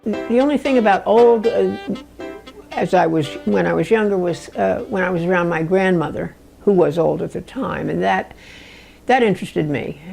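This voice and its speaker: A woman in her nineties, a retired nurse, giving halting, thoughtful interview answers. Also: on the low side, creaky, darkish tone, faint music behind, rough recording. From New Jersey. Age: 90s. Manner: halting, searching for words.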